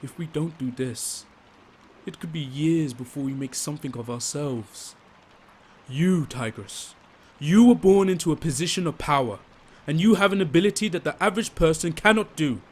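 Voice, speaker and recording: An actor playing an earnest, persuasive working-class rebel pleading with a princess in an audio drama. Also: male voice, a little high, moderate pace, a little mellow, husky.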